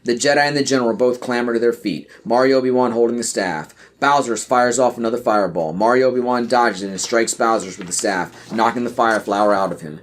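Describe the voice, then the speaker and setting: A man's voice: mid-range voice, brisk, few pauses. A man reading scripted screenplay narration and scene headings for a podcast audio play.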